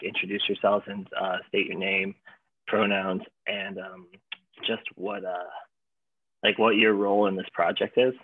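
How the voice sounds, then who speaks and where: A male host fumbles through his questions with many ums and self-deprecating asides. His voice sits slightly low, and it comes through a thin, phone-like call line. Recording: tinny call audio.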